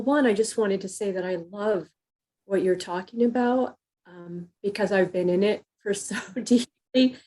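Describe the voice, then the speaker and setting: Soft-spoken woman with a mellow voice. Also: medium pitch. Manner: soft, halting, many pauses and fillers. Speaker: caller asking a question. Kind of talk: live group call question.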